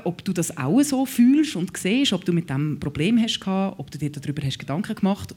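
A woman's voice: medium pitch, slightly rough. A woman with a Swiss German accent hosting an interview in a playful, jokey way. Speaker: radio host.

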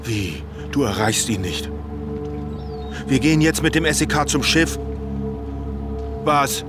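A man's voice: mid-range voice, expressive, takes it slowly. A put-upon police officer with a mid-range voice. He grumbles in colloquial fashion, in radio crime drama style, as music plays behind him. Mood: indignant. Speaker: voice actor.

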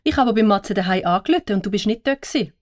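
A woman playing a concerned, scolding mother in a children's audio story, speaking in an expressive, reproving way. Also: mid-range voice, quick pace, lightly acted. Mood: reproachful.

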